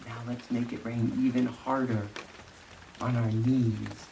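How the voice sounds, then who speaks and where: Man, children's storytime educator, very slow pace, medium pitch, simple guiding prompts. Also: little pitch movement, vocal fry, heavy background noise. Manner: simple guiding phrases and questions addressed to young children. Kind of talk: interactive children's read-along with movement prompts.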